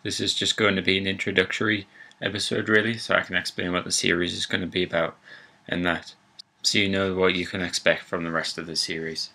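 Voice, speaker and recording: A man's voice: low-pitched, level tone, fairly quick, gravelly, bright, forceful tone. A young man with a Geordie accent, casually introducing his gaming video series with a rambling, self-assured manner. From Newcastle, England. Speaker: gaming channel host. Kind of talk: casual let's-play introduction.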